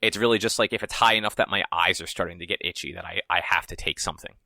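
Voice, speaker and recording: A man's voice: deep voice, quick pace, vocal fry, sharp tone, no echo. A man co-hosting a podcast, talking in a laid-back, rambling way and swearing casually. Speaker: podcast co-host. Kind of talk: casual chat.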